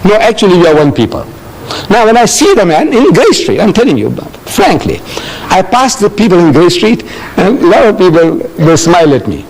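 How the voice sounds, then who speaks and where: An older man from South Africa answering audience questions in a lecture, animated and telling stories with acted-out dialogue. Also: high-pitched, brisk, poor audio.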